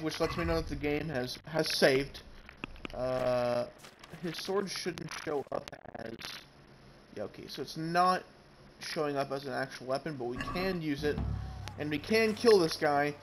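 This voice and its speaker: A man's voice: on the high side, measured pace, noisy background. A man giving casual commentary as he plays a video game, talking as the host. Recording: game music clearly behind voice. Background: video game music.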